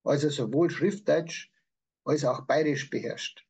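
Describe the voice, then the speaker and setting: A man's voice: a little high, measured pace, a little mellow, slightly rough. A man with a Bavarian accent, explaining things in prepared answers as an author being interviewed. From Bavaria, Germany. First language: Bavarian dialect.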